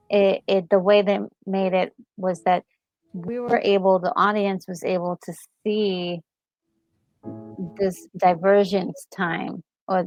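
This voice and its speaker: Woman, casual game discussion, unhurried, vocal fry, hesitant, reflective, faint music behind. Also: medium pitch, highs cut off. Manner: hesitant, reflective, often repeating words. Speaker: co-host of a gaming channel. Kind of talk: casual chat reviewing a game story.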